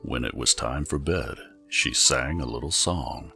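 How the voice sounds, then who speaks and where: A man narrating a children's storybook in a measured, dramatic storytelling manner. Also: extremely low pitch, vocal fry, sharp tone, faint music behind. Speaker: audiobook narrator.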